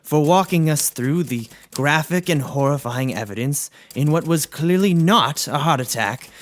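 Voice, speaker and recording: Man playing an indignant, higher-pitched prosecutor. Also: lively intonation, moderate pace, natural voice. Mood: formal, determined. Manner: formal, emphatic. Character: indignant, earnest hotshot male prosecutor. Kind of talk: scripted comedy audio drama acting.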